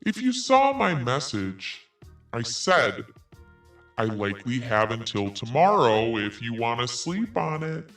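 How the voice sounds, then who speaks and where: A man's voice: medium pitch, very animated, a little slow, fairly bright tone, recorded from a distance, soft background music. A man hosting a commentary podcast, reading messages aloud in a sarcastic tone and swearing freely. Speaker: podcast host.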